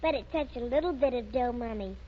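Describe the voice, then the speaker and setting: A woman's voice: a little high, a little fast, close to an everyday voice. An actress playing an eager, wheedling little girl in a 1930s radio commercial skit. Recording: muffled, noisy 1930s radio recording.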